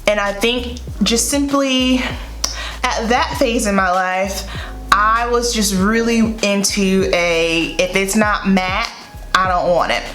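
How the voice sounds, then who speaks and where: A woman's voice: medium pitch, steady tone, takes it slowly, a bit bright. A woman in her thirties with a Southern US accent, chatting upbeat through a makeup tutorial at her vanity, a bit congested from a head cold. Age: 30s. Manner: chatty, upbeat, repeats words for emphasis. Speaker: beauty vlogger. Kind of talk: casual makeup tutorial chat. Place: bedroom vanity room, indoors. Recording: echoey room, faint music.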